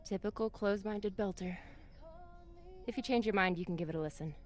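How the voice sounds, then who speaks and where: A woman's voice: medium pitch, fairly quick, soft background music, close to an everyday voice. Actress as a cheeky, sarcastic female crewmate. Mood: teasing. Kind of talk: dramatic video game acting.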